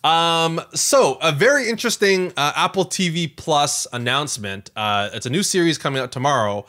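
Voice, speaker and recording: A man's voice: on the high side, lively intonation, moderate pace, sharp tone. A man co-hosting a podcast in casual conversation, rambling as he goes and often doubling back to rephrase or repeat himself. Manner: rambling, frequent self-corrections and repeated phrases. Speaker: podcast co-host.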